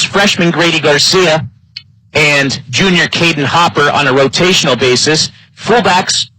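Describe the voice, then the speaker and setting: An American man doing live football play-by-play. His voice is bright and forceful, set a little high, and recorded close and dry. He speaks as a game announcer keeping listeners on top of the action. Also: no room sound, rough recording. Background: live high school football game broadcast.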